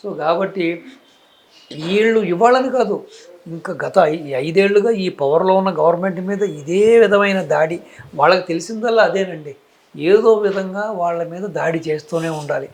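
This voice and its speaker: A man with a distinctly high voice and a Telugu accent speaks as a political commentator. He argues his opinions emphatically, throwing out rhetorical questions and stacking points in repeated phrases. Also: normal speed.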